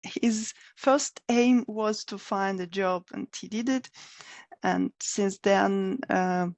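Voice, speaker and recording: A woman. She is a guest and tells her story in a measured, thoughtful way with small pauses. Her voice sits mid-height for a woman and comes across dry and close to the mic. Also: medium pitch, fairly even intonation, a little mellow, no echo. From Russia. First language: Russian. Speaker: guest, software developer. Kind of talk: casual interview talk.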